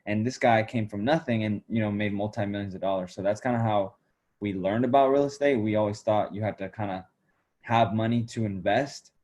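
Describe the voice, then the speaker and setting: A young man in his early twenties answers interview questions over a video call. His voice is fairly low, and he talks in a relaxed, meandering way full of fillers. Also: a little fast. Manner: relaxed, filler-heavy storytelling. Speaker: guest.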